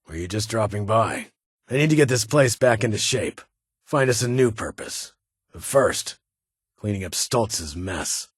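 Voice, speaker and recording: An actor playing a stern, guarded military security leader in a video game, speaking formally in clipped, commanding phrases. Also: male voice, lowish voice, expressive, a little slow, husky, no room sound, clean studio sound. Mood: measured, grateful. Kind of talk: video game character dialogue.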